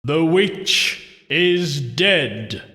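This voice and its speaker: A man voicing a solemn, menacing husband in a horror story, intoning slowly in a hushed, ominous way. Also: fairly high pitch, expressive, exaggerated voice. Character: husband solemnly intoning a macabre dead-witch game. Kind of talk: dramatic horror story reading. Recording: long cavernous reverb.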